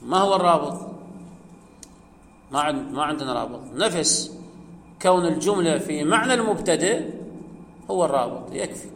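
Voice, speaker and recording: A man teaching a grammar class, explaining step by step, asking his students questions, and chanting quoted verses slowly. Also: fairly high pitch, animated, fairly bright tone. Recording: echoey hall with faint ambience.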